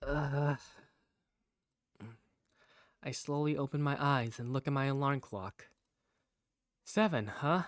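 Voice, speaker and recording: A woman's voice: a bass voice, fairly even intonation, slow pace, pauses often, dry recording, studio quality. A woman playing an easygoing young protagonist, narrating and speaking in a visual novel fandub in a casual, wry, playful way. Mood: laid-back.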